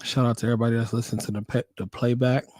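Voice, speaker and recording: American man, hoarse with a cold, relaxed dark tone, flat melody, slangy repetitive livestream chat, casual host. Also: medium pitch, rapid-fire, no echo. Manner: laid-back, slangy, repeats phrases. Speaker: livestream host, working nurse.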